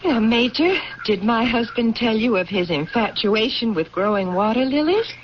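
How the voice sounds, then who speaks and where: An actress playing a gracious, self-assured general's wife in an old-time radio drama, poised, genteel and firm. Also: female voice, on the high side, animated, normal speed, lightly acted. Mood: chatty, hospitable. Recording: muffled, noisy old radio broadcast recording.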